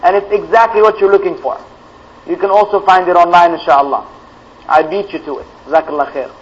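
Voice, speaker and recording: A man's voice: high voice, steady tone, fairly quick, muffled recording. A man whose first language is Arabic, answering viewers' religious questions live as a preacher, reading each question aloud and replying firmly, repeating key phrases for stress.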